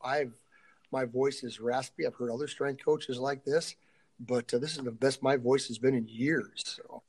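A middle-aged man answers interview questions as a coach would in casual conversation. His pitch is average for a man, and he speaks haltingly, working out his thoughts as he goes and letting phrases trail off. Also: fairly even intonation, darkish tone, husky. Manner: halting, thinking aloud, trailing off. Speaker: college strength and conditioning coach being interviewed.

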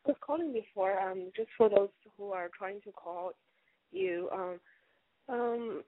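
A woman from China calling in to a radio show, hoarse from bronchitis, hesitant with many fillers. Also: medium pitch, animated, measured pace, no echo. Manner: hesitant, filler-heavy, giggly. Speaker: caller. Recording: tinny phone line, dry.

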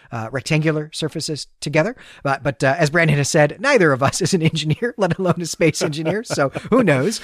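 A man's voice: mid-range voice, dry recording, studio quality. A man co-hosting a podcast, recapping a story in a conversational, explanatory way with enthusiastic, chatty asides. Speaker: podcast co-host.

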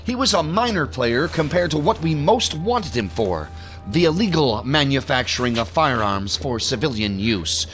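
An actor playing an officious, easily irritated male federal agent who briefs colleagues in a formal, measured, pompous way for an audio drama. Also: mid-range voice, big pitch swings, a bit bright, faint music behind. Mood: authoritative.

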